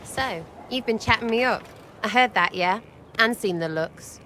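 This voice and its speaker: A woman's voice: fairly high pitch, unhurried, pushed and bright, slight background noise. An actress voicing a cheeky, irreverent young elf woman who teases and uses crude slang. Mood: teasing. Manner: playful, teasing, crude slang. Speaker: companion character.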